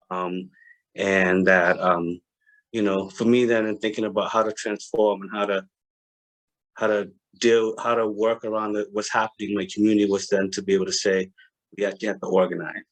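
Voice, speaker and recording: A man in his thirties with a Boston accent, speaking earnestly as a panelist on a video call, with many pauses and restarts. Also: lowish voice, poor audio. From Cambodia.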